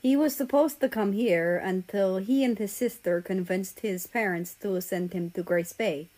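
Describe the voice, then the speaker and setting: A woman's voice: medium pitch, moderate pace, dark tone, close to an everyday voice. A woman voicing an earnest, rambling teenage boy who confides hesitantly in his friends in a story. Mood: earnest, embarrassed.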